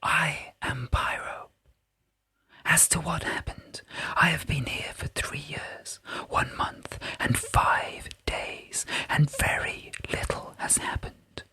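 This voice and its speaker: A man voicing a tiny, playful earth spirit that speaks in an amused, breathy whisper. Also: on the high side, takes it slowly, pushed and bright, pitched above the performer's own voice, full character voice.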